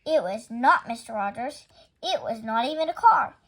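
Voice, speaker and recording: A woman's voice: fairly high pitch, lively intonation, normal speed. A woman reading a children's picture book aloud as a storytime host.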